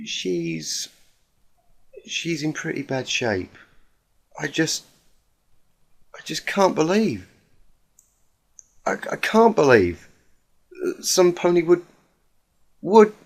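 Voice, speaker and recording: Guy doing a soft-natured girl pegasus pony, higher voice, worried n halting, kinda pleading. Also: very animated, heavily acted. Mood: tentative, upset. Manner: tentative, imploring, halting. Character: gentle, worried young female pegasus pony.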